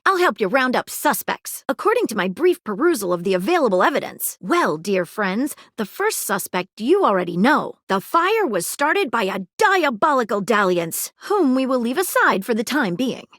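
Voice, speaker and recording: A woman's voice: on the high side, a bit bright, dry recording, studio quality. A woman voicing an eager, prim detective magnifying glass in a video game, with arch, wordy, old-fashioned sleuth talk and a suspicious, wry temper. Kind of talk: theatrical video game character acting.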